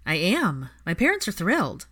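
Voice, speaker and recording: A woman's voice: lowish voice, wildly swooping pitch, moderate pace, fairly bright tone, full character voice. A woman voicing an earnest, grateful young witch with a polite, emotional manner in a story reading. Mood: pleased, grateful.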